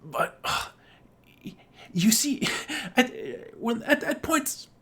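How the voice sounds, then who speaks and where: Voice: sits high, expressive, extremely slow, bright, forceful tone, slightly rough. An enthusiastic podcast co-host chatting casually about film, speaking emphatically and swearing freely. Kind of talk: casual film review chat.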